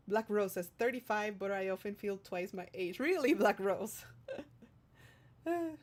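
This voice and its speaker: A woman's voice: mid-range voice, slow. Female livestream host, mid-range voice, casual and affectionate, playful while reading and answering viewer messages. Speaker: streamer hosting a livestream, singer-songwriter.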